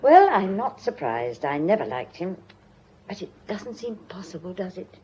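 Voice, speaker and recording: An actress playing a proper, fussy, solicitous spinster with an English accent in a radio drama, genteel and confiding. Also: female voice, lowish voice, very animated, normal speed, darkish tone, breathy edge, full character voice. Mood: confiding, urgent. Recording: dull, muffled radio recording.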